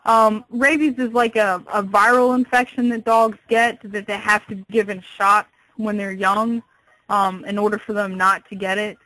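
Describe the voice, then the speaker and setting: A woman's voice: mid-range voice, fairly even intonation, moderate pace, fairly bright tone, no room sound. Patient woman on a dry phone call. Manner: patient, explanatory, hesitant. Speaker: pet store employee. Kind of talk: customer service phone call. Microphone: telephone.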